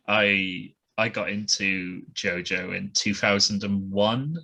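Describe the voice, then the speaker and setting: A man's voice: lowish voice, extremely slow, creaky, bright, forceful tone. A man hosting a podcast, chatting eagerly and casually with a guest. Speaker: podcast host. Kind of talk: casual interview chat.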